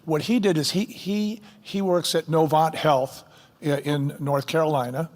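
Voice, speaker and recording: A man's voice: fairly high pitch, steady tone, unhurried, in a large hall. A man who co-founded a company, speaking as a guest in a fireside chat and telling stories enthusiastically, with fillers.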